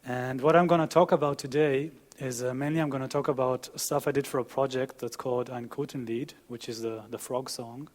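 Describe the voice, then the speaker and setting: A young man with an Israeli accent presents his work at a conference. His voice sits at a middle pitch, and he talks as a student introducing himself and his projects to an audience. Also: fairly even intonation, brisk, hardly any pauses. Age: young adult. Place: dim conference auditorium with audience, on a panel. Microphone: headset.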